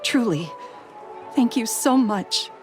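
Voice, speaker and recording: A woman's voice: on the high side, animated, slow pace, music in the background. A woman playing a timid, emotional young woman in a game drama, speaking hesitantly and earnestly. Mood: emotional, grateful.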